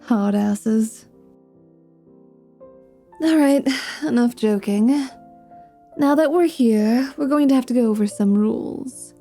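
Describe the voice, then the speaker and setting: Woman playing female dhampir mercenary, flirtatious, jaded, dry wit, unhurried pace, soft mellow tone, fantasy ASMR roleplay, music behind. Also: fairly high pitch. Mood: wry, playful. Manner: teasing, dry, dramatic. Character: flirty, sardonic female dhampir mercenary, world-weary, unhinged.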